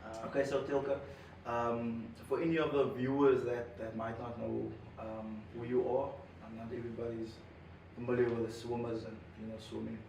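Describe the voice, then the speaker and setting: A man's voice: medium pitch, flat delivery, normal speed, few pauses, darkish tone. A man hosting a casual podcast interview, Zambian accent, hesitant with many ums and laughs. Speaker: podcast host. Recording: echoey room, mic at a distance, background noise.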